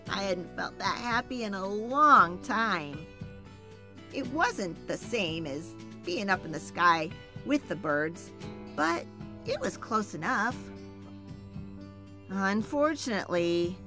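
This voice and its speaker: A woman reading a children's picture book aloud, voicing the first-person narration with animated expression, soft laughs and sighs. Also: medium pitch. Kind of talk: expressive read-aloud storytelling.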